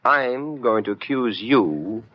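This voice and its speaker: A man's voice: mid-range voice, animated, slow. A man acting a brash, wisecracking American private eye in an old radio detective drama, tough and slangy as he tells his case. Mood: hard-boiled narrating. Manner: tough, slangy, hard-boiled. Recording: muffled old radio recording with music.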